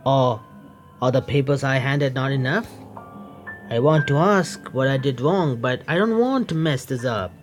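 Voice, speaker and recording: A male streamer with reads a visual novel aloud at a medium pitch and adds his own commentary. He is halting, often restarting phrases, and faint music sits behind him. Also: lively intonation. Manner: halting reading with stumbles and self-corrections.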